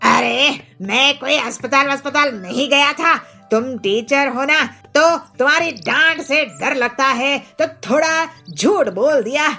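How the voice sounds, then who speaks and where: A woman doing a gravelly, sheepish husband bird. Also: sits high, a little slow, bright, forceful tone, soft background music, full character voice. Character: Jabaz, a male bird husband caught lying.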